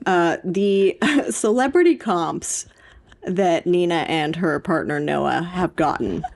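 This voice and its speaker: Slightly low-voiced young woman, playfully emphatic. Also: expressive, slow, creaky. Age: young adult. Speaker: podcast co-host and PhD student. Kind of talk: casual podcast chat.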